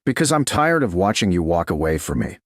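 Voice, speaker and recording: A commanding, intense duke from a medieval romance, voiced by a male narrator. He speaks tersely and with control in a low, husky voice, recorded close and dry. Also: sing-song, fairly quick, dry recording.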